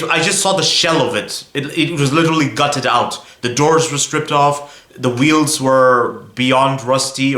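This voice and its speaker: A man's voice: medium pitch, pushed and bright, echoey room. A young man from Belgium chatting casually to viewers on his car vlog, rambling a little and often saying "guys". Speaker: car vlogger.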